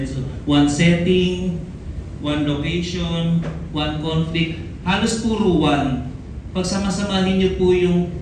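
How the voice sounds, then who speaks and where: A Filipino man, a film director, giving talkative press conference answers, mixing in English and often tagging on questions. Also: a little high. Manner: talkative, code-switching into English, frequent tag questions. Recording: very echoey room, distant mic, loud noise.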